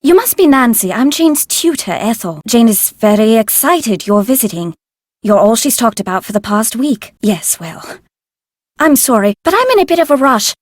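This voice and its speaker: Prim, secretive manor tutor, animated high-ish voice, video game mystery, dry studio sound. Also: female voice, no echo. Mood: formal, polite, then hurried. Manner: prim, proper, measured, veiled warnings. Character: formal, secretive woman tutor at an old manor. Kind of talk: scripted video game voice acting.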